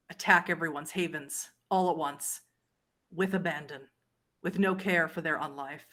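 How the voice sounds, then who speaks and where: A woman voicing a weary, wary old lady vampire informant, speaking in measured statements with dramatic pauses. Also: deep voice, sharp tone. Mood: grim, wry. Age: older.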